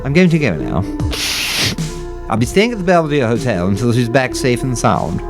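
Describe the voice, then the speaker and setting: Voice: mid-range voice, sing-song, normal speed, music in the background. A performer playing an older, devout, wealthy grandmother in an audio drama, fretful and imperious. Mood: anxious, demanding. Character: pious, wealthy, anxious grandmother demanding help.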